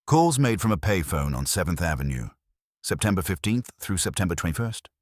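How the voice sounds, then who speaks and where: A man voicing a calm, calculating 1930s crime boss, terse and menacing, in a narrated story. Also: low voice, brisk, dry recording, clean studio sound. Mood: terse, controlled.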